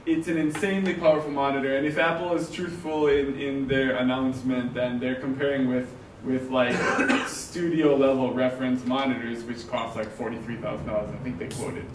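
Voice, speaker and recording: A man at a meetup, talking loudly and animatedly in a casual group discussion. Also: medium pitch, moderate pace, few pauses. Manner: loud, animated, talks in bursts. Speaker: meetup attendee. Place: meetup room. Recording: roomy, from far off, faint noise.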